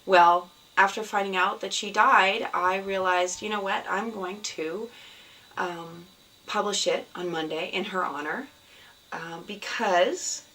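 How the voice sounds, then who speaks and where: Hoarse woman host, scratchy voice. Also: medium pitch, takes it slowly. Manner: rambling, many ums, soft and sad in places. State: hoarse from a cold. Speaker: podcast host. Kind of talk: casual video podcast chat. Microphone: camera. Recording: somewhat distant mic, faint background noise.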